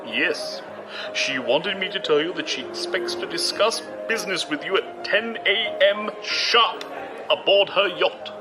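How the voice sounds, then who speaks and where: A man's voice: high-pitched, lively intonation, measured pace. A man playing a polite, helpful servant in an audio drama, deferential and courteous. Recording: music behind the voice. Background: music.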